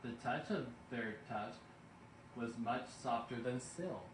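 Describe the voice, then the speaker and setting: Male storybook narrator: slow, deliberate, expressive verse reading, distant mic with faint noise. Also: mid-range voice, echoey room. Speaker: bedtime story reader.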